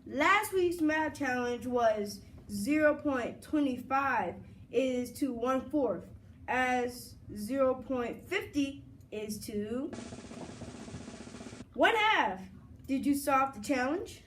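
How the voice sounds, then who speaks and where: A woman's voice: high voice, animated, slow pace, slight background noise. A young girl anchoring a school news broadcast, reading her script aloud.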